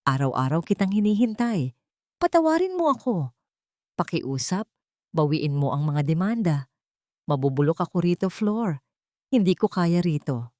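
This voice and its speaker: A woman's voice: fairly low pitch, very animated, moderate pace, pauses often, a little mellow, no room sound, studio quality. A woman with a Filipino accent voicing a broken, disgraced husband who begs desperately from prison in an audiobook drama. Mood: desperate pleading. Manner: desperate, begging.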